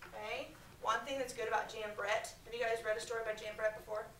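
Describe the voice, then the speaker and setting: Bright-voiced American woman teacher reading aloud. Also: on the high side. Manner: expressive, projected, pausing to question pupils. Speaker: elementary school teacher. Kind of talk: storybook read-aloud to a class. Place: medium-sized school classroom, indoors. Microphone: far camera. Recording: echoey classroom, distant mic, faint background noise.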